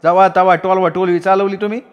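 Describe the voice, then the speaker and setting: Shrill nagging village woman, man putting her on, quick sharp jabs. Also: level tone, long reverb tail, lightly acted. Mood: scolding. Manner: shrill, scolding, rapid taunts. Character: quarrelsome village woman, sister-in-law Dhabi.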